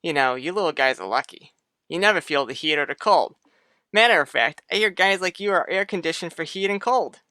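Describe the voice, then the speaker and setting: An actor playing a breezy, jovial uncle in a vintage radio soap, talking in a casual, folksy way. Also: male voice, a little high, lively intonation, a little fast, sharp tone, no echo, clean studio sound.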